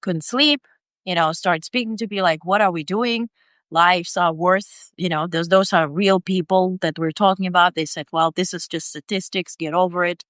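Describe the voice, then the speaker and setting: Woman from ukraine, lowish smooth voice, lots of ums, dry close sound. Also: fairly even intonation, normal speed, a bit bright, no room sound. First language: Ukrainian or Russian. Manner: thoughtful, many fillers and restarts. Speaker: independent journalist, guest. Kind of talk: conversational interview.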